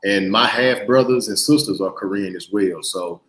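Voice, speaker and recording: A man in his thirties with a Mississippi Southern accent, a guest in a casual interview, relaxed and pausing with fillers. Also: lowish voice, reverberant, rough recording. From Mississippi, USA. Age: 30s. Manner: relaxed, hesitant with frequent uh fillers.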